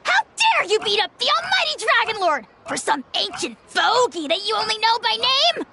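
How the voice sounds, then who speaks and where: A woman voicing a small, boastful male dragon spirit who shouts insults and grand titles at everyone. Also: extremely high pitch, very animated, normal speed, a loud crowd, full character voice. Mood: scornful.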